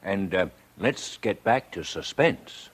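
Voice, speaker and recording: Wry straight man, amused, animated swings, 1940s radio commercial skit. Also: sits low, slow pace, slight background noise. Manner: wry, reactive.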